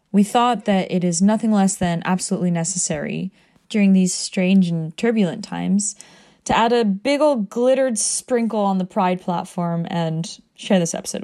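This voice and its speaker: A woman's voice: lowish voice, warm tone. Upbeat woman podcast host. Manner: upbeat, enthusiastic. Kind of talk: scripted introduction.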